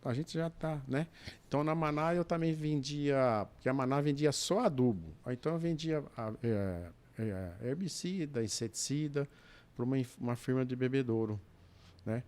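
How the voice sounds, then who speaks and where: An older Brazilian man, in his sixties, talks through his past at an easy pace. His pitch sits in the middle, and he rambles, doubles back and drops asides mid-sentence. He sounds like a guest at a podcast table. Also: normal speed, darkish tone. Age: 60s. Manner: rambling, restarts often, adds asides. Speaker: podcast guest, businessman and dispatcher. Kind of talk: relaxed storytelling in a chat.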